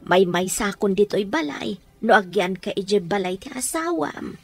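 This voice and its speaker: A woman's voice: medium pitch, expressive, measured pace, vocal fry, a little mellow, breathy edge, soft background music, full character voice. A woman playing a fond, doting mother in a radio drama, speaking in an affectionate, entreating way. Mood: fond, concerned.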